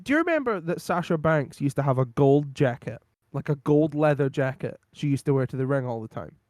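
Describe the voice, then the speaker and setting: A man co-hosting a podcast and chatting casually, rambling with lots of ums, his voice going hoarse. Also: on the high side, animated, fairly quick, a little mellow, no echo, studio quality. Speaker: podcast co-host. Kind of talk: casual wrestling recap chat.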